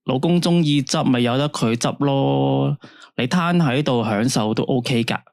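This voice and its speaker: An actor playing a late-night radio phone-in host, speaking Cantonese with a Hong Kong accent in a soothing, drawn-out counselling tone. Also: male voice, mid-range voice, creaky, a little mellow, no echo, studio quality. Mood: calm. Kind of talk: radio drama acting.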